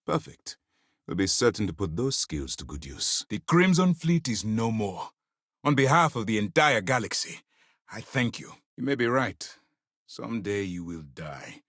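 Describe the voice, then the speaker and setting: Stern space-fleet commander, low voice, widely swinging intonation, principled, formal, authoritative, measured, video game dialogue. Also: male voice, very animated, a bit bright, dry recording, studio quality, full character voice. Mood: composed, formal. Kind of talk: dramatic game character dialogue.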